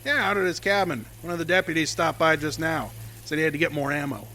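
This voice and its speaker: An actor playing a brash, cheerful logging boss who teases and talks folksy in a radio drama. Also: male voice, fairly high pitch, quick pace. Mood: teasing. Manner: loud, folksy, teasing.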